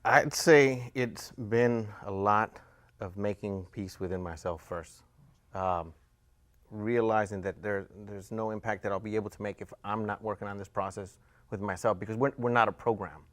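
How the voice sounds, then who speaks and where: Man, interview guest, deeper voice, halting delivery, close dry recording. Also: a bit bright, no room sound. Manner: halting, searching for words. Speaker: panel guest, juvenile justice volunteer. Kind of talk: unscripted interview answers.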